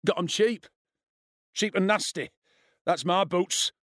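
Man voicing a jeering, high-pitched schoolboy bully. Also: slow, a bit bright, no echo, clean studio sound. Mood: gleeful menace. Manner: taunting, sneering delivery. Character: cruel, swaggering schoolboy bully, jeering and foul-mouthed.

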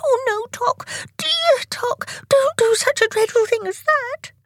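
A woman voicing an excitable, whiny, pleading young brother in a children's storybook. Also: very high voice, a little slow, no room sound, clean studio sound, voice pushed up, exaggerated voice. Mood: frightened pleading.

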